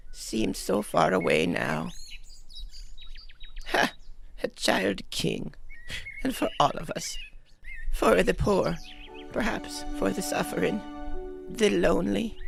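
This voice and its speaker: Befana, a creaky old peasant woman. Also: exaggerated voice. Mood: wistful. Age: older. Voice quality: creaky, gravelly. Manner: exclaims "Bah!", swoops in pitch. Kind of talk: audio drama acting.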